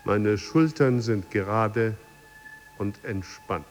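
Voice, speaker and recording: An actor playing a stressed male office employee in coaching, with a husky, creaky voice, in a radio drama. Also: on the low side, slow pace, faint music behind. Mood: dutiful reciting. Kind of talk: radio drama monologue and chanted affirmations.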